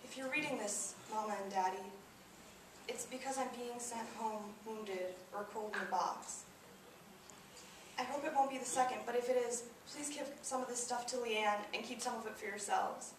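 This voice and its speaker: A woman plays a soldier's bereaved mother in a stage monologue. Her voice is fairly high, solemn and deliberate, moving in halting phrases. It comes from a distance in a very echoey hall. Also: lightly acted. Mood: grave, tender. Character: grieving mother of a fallen soldier, plainspoken, steadfast. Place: indoor performance space, recorded from afar. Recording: very echoey hall, distant mic, faint noise.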